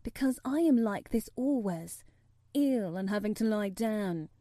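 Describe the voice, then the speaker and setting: A woman's voice: medium pitch, expressive, measured pace, mellow, no room sound, studio quality, lightly acted. Woman voicing a fretful, imperious sickly young boy.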